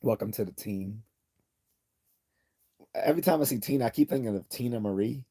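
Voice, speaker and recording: A man's voice: mid-range voice, fairly quick, warm tone. Rambling man reading livestream chat. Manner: rambling, with frequent restarts and throat clearing. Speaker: livestream host. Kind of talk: casual livestream chat, reading viewer comments.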